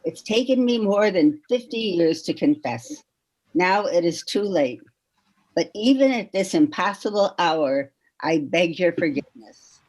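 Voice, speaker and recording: A woman reading a poem aloud with measured, deliberate phrasing. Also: on the low side, lively intonation, darkish tone, low-quality recording. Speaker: poetry reader.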